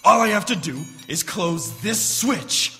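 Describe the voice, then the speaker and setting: An actor playing an eager, obsessed scientist, excitable and declamatory, in an old-time radio drama. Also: male voice, high-pitched, takes it slowly, full character voice. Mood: proud, excited. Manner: excitable, declamatory, self-assured. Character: eager, obsessed scientist showing off his invention. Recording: reverberant stage hall, faint music.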